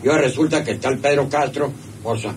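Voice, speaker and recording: A man's voice: medium pitch, fairly even intonation, quick pace, close to an everyday voice. An actor playing a deferential, flustered hacienda henchman, hesitant in his explaining, in a radio drama. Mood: nervous, deferential. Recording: noisy old radio serial recording.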